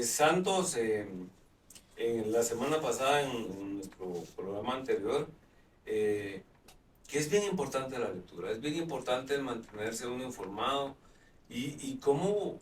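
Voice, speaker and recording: A man's voice: mid-range voice, a little hiss or hum. A man hosting a live talk show, chatting with many fillers and restarts. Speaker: host. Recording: roomy, distant mic.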